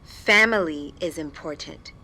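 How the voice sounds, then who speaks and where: Unflappable, earnest mother and office worker: bright tone, formal, precise, literal. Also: low voice, measured pace, exaggerated voice. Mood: earnest, resolute. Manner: formal, stiffly precise, avoids contractions. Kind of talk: audio drama acting.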